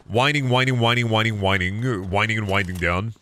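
A man's voice: fairly low pitch, a little fast, fairly bright tone. A man in his thirties streaming a game from home on a headset, chatting in a rambling, self-deprecating way and swearing at the game, his voice sick and close to cracking. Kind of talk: casual gameplay stream chat. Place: home room at a desk.

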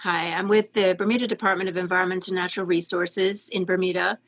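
A woman's voice: fairly low pitch, steady tone, quick pace, a bit bright. A woman introducing herself on a video call as a government entomologist, in a brief, matter-of-fact way.

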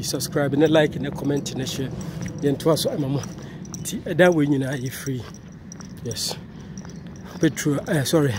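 A man with a Ghanaian accent chats casually and jokily to his viewers, talking into a handheld phone as he walks outside. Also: fairly high pitch. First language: Twi. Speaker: vlogger, channel host. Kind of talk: casual walking vlog to camera. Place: outdoors, walking around a housing complex. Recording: noisy outdoor phone recording.

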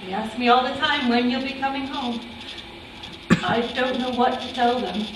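A woman's voice: sits high, moderate pace, close to an everyday voice. A middle-aged woman playing the weary, anguished wife of an imprisoned Nazi in a staged reading. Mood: distressed. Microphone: far camera microphone. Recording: echoey room, far microphone, clear noise.

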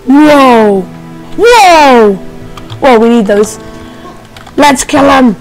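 A young woman giving casual, excitable commentary while playing a game, squealing and making playful sing-song noises. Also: high-pitched, very slow, soft background music, poor audio. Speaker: gamer. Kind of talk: casual let's play commentary.

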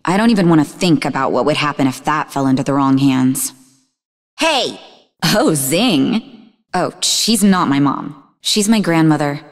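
An actress playing a sarcastic, defensive young woman in a video game, speaking in an expressive, conversational way. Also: low-pitched, a little slow, big-room sound. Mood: urgent, cautious, then bitter, angry. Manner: snarky, conversational, emotionally expressive. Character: sarcastic, defensive young woman, idealistic martial-arts student and researcher. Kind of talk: video game character dialogue.

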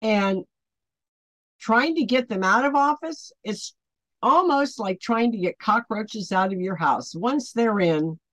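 Older woman, American accent, mid-range voice, informal commentary, halting, emphatic, small dry room. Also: medium pitch, animated, dry recording. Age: 60s or 70s. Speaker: online ministry host. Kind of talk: informal spoken commentary to camera. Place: small home office, indoors.

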